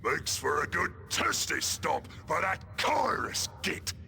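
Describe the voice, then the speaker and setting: A man playing a brutish, boisterous ork in a video game, with a gravelly Cockney voice and crude, ungrammatical, bellowing speech. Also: on the high side, very animated, takes it slowly, heavy background noise, exaggerated voice. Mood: gleeful, belligerent. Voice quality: gravelly, shouty. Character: brutish, boisterous male ork warboss and his gang. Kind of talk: video game cutscene acting.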